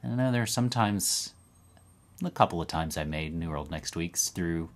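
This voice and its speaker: A man in his thirties hosting a casual news roundup to camera from a room at home, animated and talking with his hands. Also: low-pitched, moderate pace. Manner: animated, talks with his hands, upbeat asides. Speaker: podcast host. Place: home room with record shelves.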